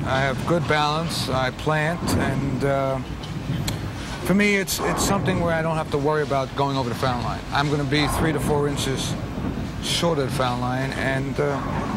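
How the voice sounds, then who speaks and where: A man's voice: a little high, measured pace, heavy background noise. A man in his thirties, a pro bowler, plainly explaining his own grip and shoes in an instructional video at a bowling alley, recorded on a lapel mic. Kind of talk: casual explanation.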